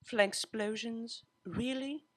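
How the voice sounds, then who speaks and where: A man voicing a refined, polite young female pony cellist with a proper, dry, gently wry manner in a story reading. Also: extremely high pitch, slow, pitched above the performer's own voice, exaggerated voice. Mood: dryly skeptical. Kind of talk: fan fiction audiobook character voice.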